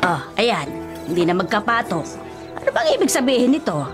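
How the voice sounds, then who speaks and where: A woman's voice: medium pitch, big pitch swings, brisk, close to an everyday voice. A woman playing a puzzled, polite older household woman in a radio drama, full of questions. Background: music.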